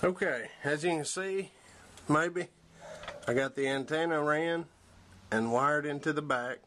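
A man's voice: fairly high pitch, a little slow, fairly bright tone. A young man giving a casual, plain-spoken hands-on tutorial in a matter-of-fact way, recorded on a camera's own mic. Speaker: host of a DIY preparedness channel. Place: outdoors in a yard and in a wooden workshop. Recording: noisy handheld camera audio.

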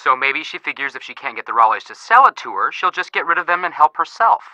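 A man playing a wisecracking, excitable teenage boy detective in a mystery game, breezy and theatrical. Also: a little high, fast, dry recording, highs cut off, heavily acted. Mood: speculating, joking. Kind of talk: scripted phone banter in a mystery game.

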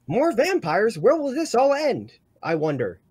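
Someone playing a husky, panicky old duck vampire. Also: medium pitch, wildly swooping pitch, measured pace, darkish tone, no echo, clean studio sound, exaggerated voice. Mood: bewildered. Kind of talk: live comic dub reading.

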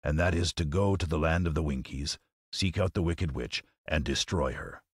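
Man voicing a deep husky cowardly lion. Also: normal speed, no echo, studio quality. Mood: downcast. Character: cowardly male lion, bold in talk, timid at heart.

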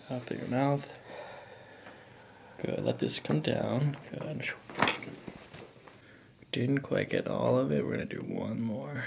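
A man in his thirties, a chiropractor, calmly giving coaxing hands-on instructions to a patient. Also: medium pitch, vocal fry, background noise. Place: small office, indoors. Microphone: microphone on boom stand.

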